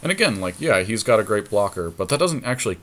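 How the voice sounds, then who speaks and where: A man co-hosting a podcast, casually commentating on a game and thinking out loud as he reasons through each move. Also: fairly low pitch, very animated, quick pace, slight background noise. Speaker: podcast co-host.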